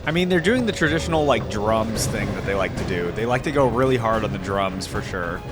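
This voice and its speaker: Casual man reacting over loud music. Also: lowish voice, expressive, a little fast. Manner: informal, musing, thinking aloud. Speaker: reaction video host. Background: game music.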